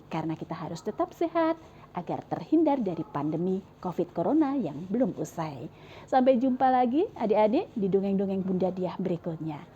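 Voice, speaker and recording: A woman reading a children's story aloud in an expressive, sing-song way, recorded on a clip-on mic. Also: medium pitch, highs cut off, slight background noise.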